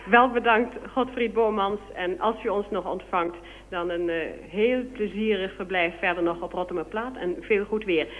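Woman, mid-range voice, polite, formal, slightly halting, live radio presenter. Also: medium pitch, phone-quality sound. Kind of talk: live broadcast interviewing and handover.

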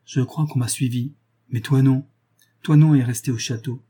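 A man's voice: mid-range voice, fairly even intonation, normal speed, dark tone, slightly rough, lightly acted. A man reading a novel aloud as a remorseful married noblewoman, grave, reproachful and resolute as she renounces her lover. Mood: hushed anxiety.

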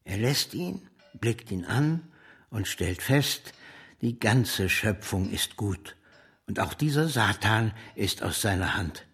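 An actor playing an ardent, proud male socialist leader in a German radio drama, fervent and slightly husky. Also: lowish voice, lively intonation, takes it slowly, a bit bright, exaggerated voice.

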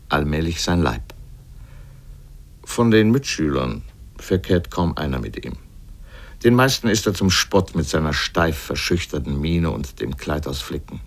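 A low-pitched male voice narrates a literary radio essay. He comments in an emphatic, pointed, rhetorical way.

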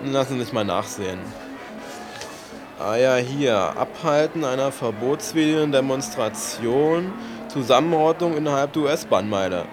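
An actor playing a bureaucratic, officious police officer in a satirical radio play, deadpan, pedantic and curt. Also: male voice, mid-range voice, normal speed, music in the background, natural voice.

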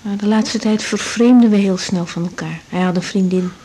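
A woman's voice: mid-range voice, normal speed, mellow, husky, lightly acted, poor audio. An actress playing a young woman doctor, a restrained and protective daughter, speaking hesitantly in a 1980s radio drama. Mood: subdued, shaken. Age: young adult. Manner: hesitant, subdued.

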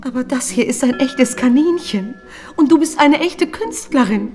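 Female art teacher, radio drama: emphatic, encouraging, gently strict, big melodic swings. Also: sits high, lively intonation, moderate pace, faint music behind. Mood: firm, encouraging. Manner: emphatic, admiring, gently admonishing. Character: encouraging, firm woman gym and art teacher. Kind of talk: radio play dialogue.

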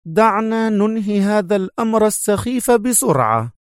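A man doing a sharp, defiant woman agent. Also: high voice, very animated, moderate pace, clear, resonant tone, dry recording, clean studio sound, pitched above the performer's own voice. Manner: curt, sharp, mocking. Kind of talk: audiobook character voice.

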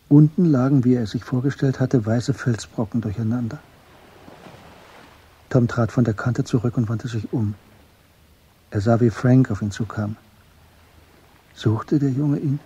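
A man giving scripted literary narration for a radio drama, measured and matter-of-fact, with a husky voice. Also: medium pitch, dull audio. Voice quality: dark, very husky.

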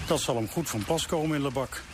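A man's voice: medium pitch, quick pace, natural voice. An actor playing a blunt, scornful military man of thirty in a radio drama, with a forthright manner. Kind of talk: Dutch radio drama acting. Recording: noisy old radio play recording.

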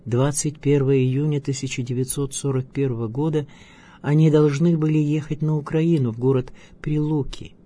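A man's voice: fairly high pitch, fairly even intonation, normal speed, mellow. A man reading a scripted biographical narration as the presenter of a radio programme.

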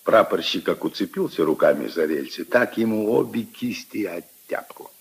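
A man's voice: low voice, lively intonation, moderate pace, gravelly, breathy edge, exaggerated voice. An older man playing a kindly, opinionated old grandfather who muses wistfully and emphatically in a 1950s radio drama. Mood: vivid, grim. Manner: ruminative, emphatic, intimate storytelling to his granddaughter. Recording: 1950s radio play recording.

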